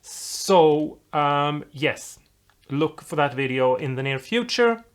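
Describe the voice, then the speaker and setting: DIY video host from Europe: informal, mid-pitched, encouraging, rambles through step-by-step instructions. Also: lively intonation, takes it slowly. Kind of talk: casual tutorial, talking viewers through a build.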